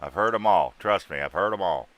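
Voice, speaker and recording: A man's voice: sits low, a little fast, fairly bright tone, dull audio. A man in his thirties, hosting a livestream and bantering with guests in trucker slang. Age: 30s. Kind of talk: casual livestream chat.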